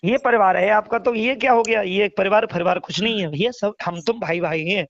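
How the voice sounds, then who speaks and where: A man's voice: high-pitched, brisk. A man speaking earnestly and repetitively in a casual phone conversation, urging his point and calling the listener brother. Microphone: phone call. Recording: dull lo-fi recorded phone call.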